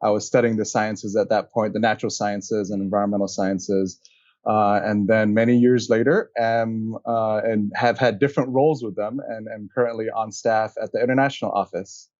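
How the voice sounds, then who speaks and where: A man in his thirties from Singapore, answering interview questions in a calm, measured way. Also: lowish voice, no echo, dull audio. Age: 30s. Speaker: guest, conservation director.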